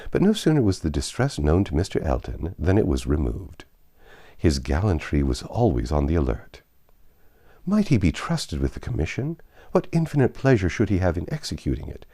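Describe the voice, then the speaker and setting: Male audiobook narrator for literary classics: low-pitched, dark-toned, highly animated delivery. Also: moderate pace. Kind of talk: expressive scripted literary narration.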